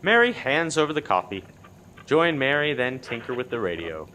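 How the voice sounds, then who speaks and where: A man narrating a scripted comic murder mystery as animated storytelling, with noise in the background. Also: mid-range voice, moderate pace, natural voice. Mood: dry storytelling. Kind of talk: scripted radio play narration.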